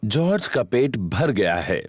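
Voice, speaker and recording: A man's voice: on the low side, very animated, slow pace, darkish tone, slightly rough, no room sound, studio quality, heavily acted. A man voicing a friendly storybook narrator, reading scripted narration for a children's cartoon. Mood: friendly, explanatory. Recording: cartoon music behind narration.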